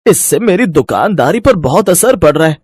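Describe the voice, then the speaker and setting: An earnest poor village vendor, acted by a man, speaks in a high, very lively voice. He sounds pleading and a little husky, in dramatized storybook style. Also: normal speed, no echo. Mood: troubled. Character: earnest, troubled poor mint seller. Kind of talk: Hindi moral story voice acting.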